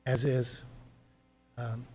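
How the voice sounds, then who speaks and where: A man's voice: mid-range voice, monotone, extremely slow, a little mellow, slightly rough. An older man explaining his request plainly and informally at a public hearing. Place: town board meeting room.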